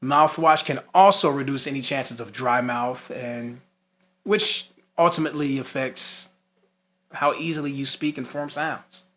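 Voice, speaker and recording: A man's voice: medium pitch, a little slow, a bit bright. A man giving an instructional lesson, moving between scripted points and casual, emphatic asides. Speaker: music instructor.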